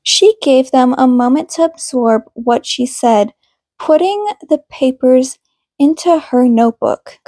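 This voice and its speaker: A woman's voice: sits high, expressive, measured pace, warm tone, no echo. A woman reading scripted fiction aloud as the narrator of an amateur audiobook. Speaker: podfic reader.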